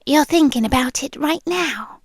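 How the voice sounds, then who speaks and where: A woman's voice: sits high, no echo, clean studio sound. A British woman playing a lonely, obsessive, affectionate stalker girl in an intimate ASMR roleplay, speaking tenderly and breathily, slipping into whispers. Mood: playful, fond. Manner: slow, breathy, tender, with pauses and sighs. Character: lonely obsessive bodiless young female stalker, possessively affectionate. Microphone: close microphone.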